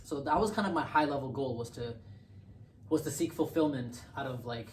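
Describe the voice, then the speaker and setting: A young man speaks as a podcast guest, reflective and halting, often restarting his words. He sits a little away from the mic, so his voice carries some room echo. A faint hiss sits under the recording. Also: medium pitch, recorded from a distance. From born in Bangladesh, raised in Canada. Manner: thoughtful, halting, repeats words as he thinks. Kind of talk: casual conversational interview.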